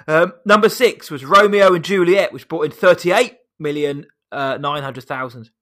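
A man co-hosting a casual film podcast, with a squeaky voice, chatty and quick to laugh at himself as he reads through lists. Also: a bit bright. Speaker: podcast co-host.

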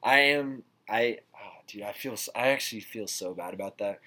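A man's voice: lowish voice, moderate pace, bright, forceful tone. Laid-back teen boy hosting a podcast. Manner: laid-back, repeats and restarts words. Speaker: podcast host. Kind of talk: casual chat. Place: indoors, ski trip lodging.

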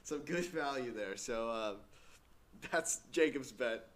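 A young man co-hosting a casual sports-betting podcast, relaxed with dry teasing. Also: mid-range voice, takes it slowly. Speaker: podcast co-host.

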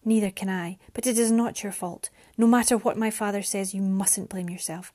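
A woman voicing a sad young female friend in a storybook, gently reassuring the heroine. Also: medium pitch, steady tone, normal speed, no echo, studio quality, lightly acted. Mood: sad, consoling.

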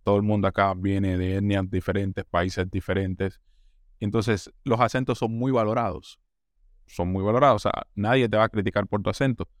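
Talkative Colombian man, low voice. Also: fairly even intonation, moderate pace, no echo, clean studio sound. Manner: talkative, restarts words, acts out quoted voices. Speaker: interview guest, newcomer. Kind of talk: casual interview.

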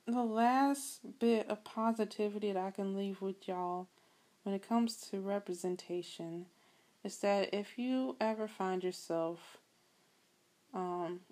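A woman hosting a solo podcast, talking casually in a soft voice, rambling a bit and chatting straight to her listeners. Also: mid-range voice. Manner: rambling, laughs often, addresses listeners as y'all. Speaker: podcast host.

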